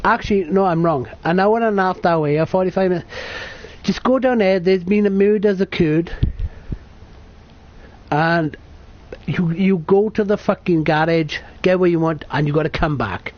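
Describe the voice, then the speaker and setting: A man's voice: sits high, moderate pace. A British man in his late thirties from the UK, telling a personal story to camera in a rambling, sweary, self-correcting way. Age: 30s. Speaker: vlogger. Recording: dull lo-fi sound.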